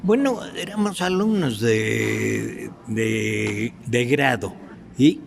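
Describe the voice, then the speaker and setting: An older man with an Ecuadorian accent from Quito, reminiscing haltingly and affectionately as an interview guest. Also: medium pitch, expressive. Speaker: guest, veteran radio actor. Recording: clearly audible background noise.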